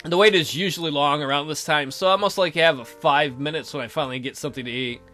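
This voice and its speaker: A man's voice: fairly high pitch, quick pace, sharp tone, soft background music. A young man hosting a let's play, reading a visual novel aloud and adding playful, joking commentary.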